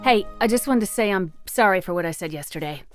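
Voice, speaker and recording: A woman's voice: medium pitch, lively intonation, fast talker, soft background music, close to an everyday voice. A woman playing a blunt, plain-spoken peasant fisherwoman grieving her brother in a fantasy audio drama. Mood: apologetic.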